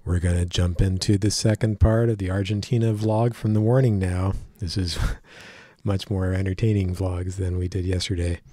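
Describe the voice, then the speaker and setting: A man's voice: deep voice, level tone, normal speed, warm tone, dry recording, clean studio sound. A man with a headset mic hosting a reaction video, chatting casually and chuckling along. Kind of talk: casual commentary over a played video.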